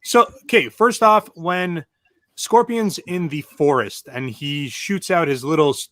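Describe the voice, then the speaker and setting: A man giving opinions as a show panelist, griping emphatically in casual, halting speech. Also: on the high side, a bit bright, no echo, studio quality. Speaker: panelist on a video game movie show.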